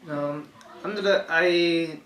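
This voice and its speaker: Young man, from Chile, interview guest, halting, fillers, echoey room. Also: on the high side, fairly even intonation, fairly bright tone, slight background noise. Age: young adult. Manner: halting, many fillers and restarts. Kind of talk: telling a personal story.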